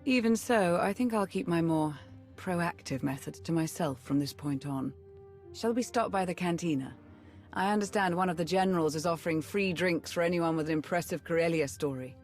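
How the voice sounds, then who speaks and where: A woman's voice: on the low side, moderate pace, a little mellow, soft background music, natural voice. Woman playing cool, wry female adviser. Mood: wry, composed. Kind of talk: scripted video game acting.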